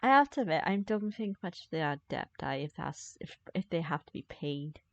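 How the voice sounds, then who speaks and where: A woman's voice: low-pitched, animated, normal speed, a little hiss or hum. A woman giving casual, jokey live commentary as she plays a game, reacting aloud to it. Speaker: game streamer.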